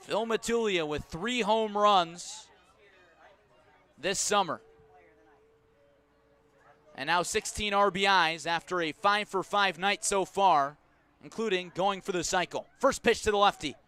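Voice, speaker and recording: A man calling a baseball game live on radio as play-by-play announcer, measured between pitches and emphatic when the action comes. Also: pushed and bright, clear, resonant tone. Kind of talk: live sports radio commentary.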